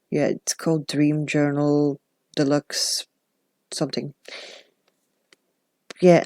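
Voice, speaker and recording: A woman's voice: deep voice, a little mellow, no echo. Chatty woman, dry close sound. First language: Dutch. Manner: chatty and reflective, with self-deprecating asides. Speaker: journaling vlogger. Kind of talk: casual chat.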